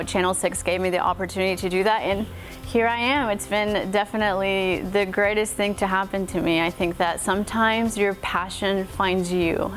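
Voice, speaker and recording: A woman in her thirties from Mexico with a light Texas accent, a morning news anchor talking earnestly and gratefully about her life. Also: mid-range voice. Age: 30s. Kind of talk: personal interview talk. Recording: music playing underneath.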